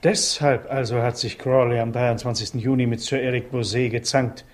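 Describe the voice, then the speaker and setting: An actor playing an inquisitive, thoughtful questioner on a case in a 1960s radio crime drama, probing and formal, on a noisy old recording. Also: male voice, medium pitch, fairly even intonation, normal speed, natural voice.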